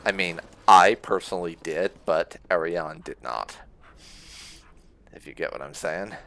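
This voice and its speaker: A man's voice: deep voice, a little slow, bright, forceful tone, a little hiss or hum. A man giving casual video game playthrough commentary, musing aloud as he goes. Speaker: gaming channel host. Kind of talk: casual playthrough commentary with role-played dialogue.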